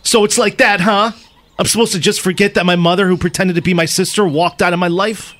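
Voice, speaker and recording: A man's voice: fairly high pitch, steady tone, brisk, slight background noise, lightly acted. A man playing a resentful son in his twenties in a comedy film scene, melodramatic, indignant and accusing. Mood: bitter.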